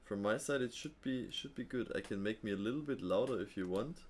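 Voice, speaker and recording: A man with a fairly low voice hosts a live trading stream in a loose, chatty way. He thinks aloud, drops fillers, and chuckles easily while answering his viewers. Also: slight background noise. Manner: relaxed, thinking aloud, frequent fillers and quick laughs.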